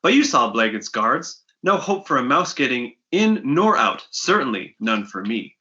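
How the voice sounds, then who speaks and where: Man reading an earnest pirate newcomer, bright voice. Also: mid-range voice, sing-song, moderate pace, lo-fi sound. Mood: grim.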